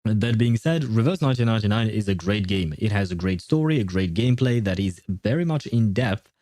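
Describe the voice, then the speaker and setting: Male streamer, low relaxed mellow voice, dry close sound, rambling casual chat with playful grumbling. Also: a little fast, no room sound. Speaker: livestream host, gaming streamer. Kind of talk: casual livestream chat with viewers.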